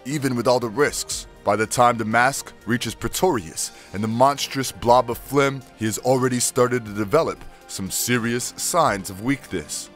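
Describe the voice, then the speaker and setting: A man's voice: mid-range voice, fairly bright tone. A man reading scripted narration that recaps cartoon episodes.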